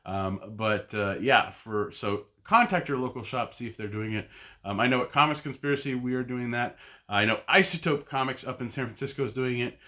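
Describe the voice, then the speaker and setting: A man's voice: medium pitch, brisk, pushed and bright. A middle-aged man talking in a casual solo vlog, rambling with many ums, uhs and restarts. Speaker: podcast host and comic buyer.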